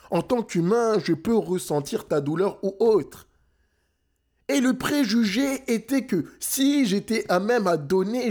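Young guy from france, fired-up motivational talk, slowish and kinda high voice. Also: darkish tone. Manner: emphatic, rousing, mixes English phrases into French. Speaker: motivational speaker.

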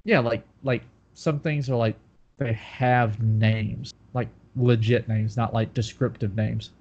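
A man's voice: medium pitch, fairly even intonation, takes it slowly, darkish tone, breathy edge. A nasal man with a Southern US accent chats loosely on a podcast, piling up "like" between jokes. His voice sounds stuffed up. The recording is dull and lo-fi. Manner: joking, rambling, lots of "like". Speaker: podcast co-host. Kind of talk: casual chat.

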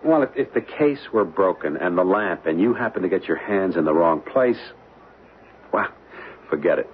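A low, lively-pitched reluctant husband from an old radio mystery play. He is a tourist with a camera, halting and dismissive of worry. The broadcast is dull, with faint noise. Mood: casual, reassuring. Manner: hesitant, halting, brushing off worries. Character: American husband, amateur photographer tourist, reluctant and reassuring. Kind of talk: old-time radio drama acting.

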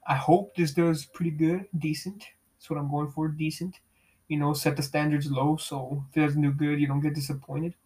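A young man with a fairly high, somewhat raised voice hosts a loose, unplanned podcast. He talks in easy slang, peppered with "bro" and cursing. He laughs often as he goes. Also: little pitch movement, moderate pace, a little mellow, poor audio. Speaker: podcast host. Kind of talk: casual chat with heavy swearing.

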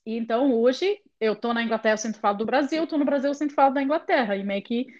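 A young English woman, a native English speaker, chatting in an interview as a lively storyteller. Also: a little high, quick pace, highs cut off. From England. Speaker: interview guest. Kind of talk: casual conversation.

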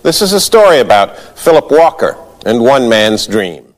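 A man's voice: mid-range voice, big pitch swings, moderate pace, in a large hall, low-quality recording. A man introducing a story as a broadcast news anchor, in scripted delivery.

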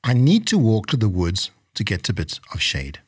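A man voicing a weary, stern old African chief in a storybook, with a husky voice. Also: on the low side, wildly swooping pitch, moderate pace, no echo, studio quality, voice pushed down, exaggerated voice. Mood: weary, complaining. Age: older. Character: tired, aggrieved old African chief with magic. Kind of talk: storybook character voice.

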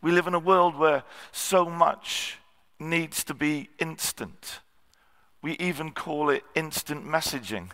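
A man, a guest preacher with a slightly husky voice, giving a sermon with many ums and cleared throats. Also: on the high side, slow pace, pushed and bright. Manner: self-deprecating, frequent ums and throat clearing. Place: church hall. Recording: long church hall reverb.

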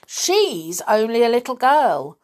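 A big storybook policeman, acted out by a woman narrator. He speaks up loudly and sternly, with righteous, accusing authority. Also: on the high side, sing-song, takes it slowly, voice pushed up.

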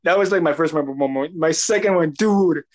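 Brief: young guy, first-time podcast host, rambly and giggly, fairly high voice with cracks. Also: quick pace. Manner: rambling, filler-heavy, laughing. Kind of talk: casual chat.

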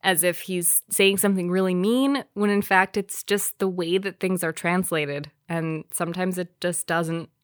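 A woman's voice: fairly low pitch, fairly even intonation, a little slow, few pauses, no echo, studio quality. A woman, co-hosting a casual podcast and explaining things in fluent, easy sentences. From Wisconsin, USA. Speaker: podcast co-host. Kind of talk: casual chat.

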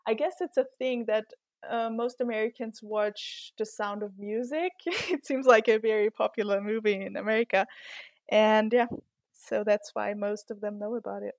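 A young woman from Austria, a former exchange student, answering podcast interview questions in a thoughtful, earnest way with soft laughs and fillers. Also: mid-range voice, normal speed, no room sound. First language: German.

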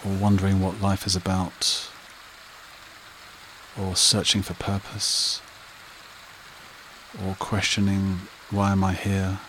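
A man leading a guided meditation and hypnosis in a soothing, repetitive manner with short phrases and long pauses. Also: low-pitched, a little hiss or hum. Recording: rain and running water behind voice. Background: steady rain and stream sounds.

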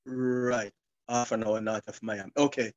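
An older man hosts a casual interview in a medium-pitched voice. The recording is dry and somewhat dull, like lo-fi call audio. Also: flat delivery, measured pace, no room sound.

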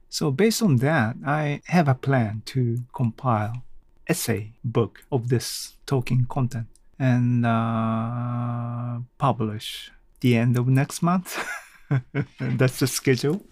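A man from Japan, a native Japanese speaker with a Japanese accent, hosting a casual solo podcast and haltingly thinking aloud. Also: mid-range voice, darkish tone, no room sound. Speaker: podcast host.

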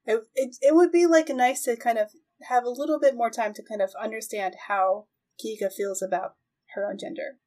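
A woman's voice: a little high, steady tone, brisk, warm tone. A woman hosting a podcast, chatting casually in a tentative way with giggles slipping in. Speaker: podcast host. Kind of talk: casual interview chat.

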